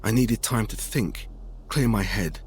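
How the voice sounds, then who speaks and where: A man reading a first-person horror story as scripted narration, in a measured, dramatic storytelling manner. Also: lowish voice, slight background noise. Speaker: guest story reader.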